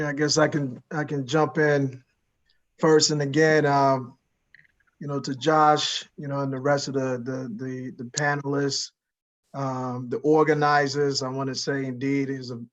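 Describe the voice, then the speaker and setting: A middle-aged man from Liberia with a voice strained from yelling, speaking earnestly and deliberately as a panelist. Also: mid-range voice, level tone. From Liberia, West Africa. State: strained voice after weeks of yelling. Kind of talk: reflective panel talk. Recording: dull lo-fi call audio.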